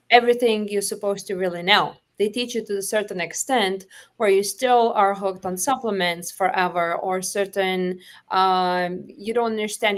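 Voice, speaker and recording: Lithuanian-accented woman, interview guest, earnest and emphatic, mid-pitched voice. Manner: earnest, emphatic, repeats phrases. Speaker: guest, holistic practitioner. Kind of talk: impassioned interview talk.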